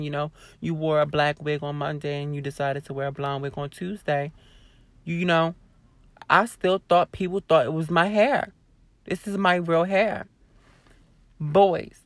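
Hoarse, sassy woman vlogger. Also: low-pitched, dry recording. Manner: sassy, blunt, swears freely, talks straight to viewers. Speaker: YouTuber. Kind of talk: casual vlog rant to camera.

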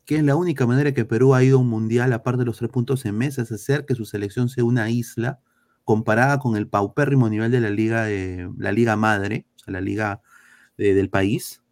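A man's voice: mid-range voice, steady tone, a little fast, a little mellow. A man from Peru with a Peruvian Spanish accent, ranting bluntly and crudely as a football talk host. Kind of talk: heated casual football talk.

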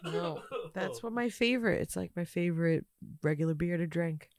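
A woman's voice: on the low side, normal speed, a little mellow. A woman co-hosting a casual comedy podcast, wry and opinionated, laughing often. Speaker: podcast co-host.

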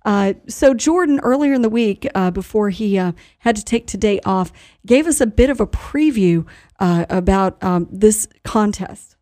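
Woman hosting live radio, informal and halting. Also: mid-range voice, lively intonation, darkish tone. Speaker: radio show host. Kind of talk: live radio talk, partly reading notices.

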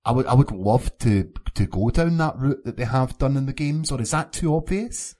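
A man's voice: medium pitch, expressive, moderate pace, warm tone. A man hosting a casual podcast chat, giving his opinions and putting questions to his co-hosts. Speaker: podcast host.

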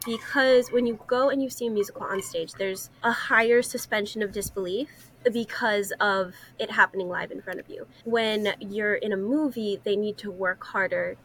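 Young woman, medium-pitched voice, podcast guest, casual discussion, opinionated. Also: fairly even intonation, moderate pace, few pauses, slight background noise, poor audio. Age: young adult.

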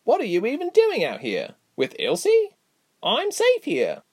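An emotional storybook girl, played by a man, pitched far up. The delivery is pleading and anxious, with wide swings in tone. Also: huge pitch swings, unhurried, slightly rough, heavily acted. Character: Gilly, emotional high-voiced girl, clingy and anxious.

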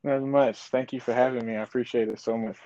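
A man's voice: mid-range voice, fairly even intonation, quick pace, dark tone. Hesitant man in his twenties, dull sound. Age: 20s. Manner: thoughtful, hesitant with many fillers. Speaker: podcast guest. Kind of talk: casual interview chat. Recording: slightly dull lo-fi audio.

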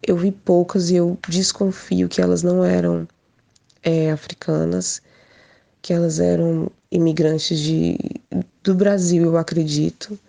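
A woman shares a personal account informally and reflectively. Her voice is low and full of vocal fry, with very little melodic movement. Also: level tone, a little slow, mellow. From Portugal. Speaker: guest speaker sharing personal experience.

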